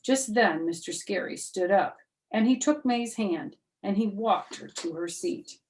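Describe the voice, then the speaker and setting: A woman's voice: mid-range voice, measured pace, darkish tone, slight background noise, low-quality recording. A middle-aged or older woman reading a children's book aloud expressively, with chatty asides to young listeners. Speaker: storyteller.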